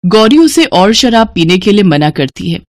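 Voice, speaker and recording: A woman's voice: medium pitch, sing-song, moderate pace, no room sound, studio quality. A woman reading scripted narration for a cartoon story.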